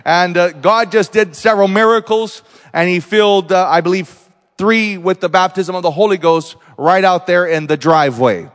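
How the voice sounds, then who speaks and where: A man preaching as a visiting missionary, telling healing stories and declaring loudly, rising to exclamations. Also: high voice, normal speed, in a large hall. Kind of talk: pentecostal sermon.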